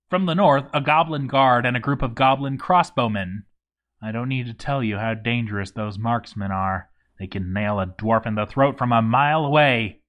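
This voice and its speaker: A man's voice: mid-range voice, a bit bright. A man reading a scripted story as narrator, with a wry, sardonic, sweary comic delivery. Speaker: narrator reading a game playthrough log.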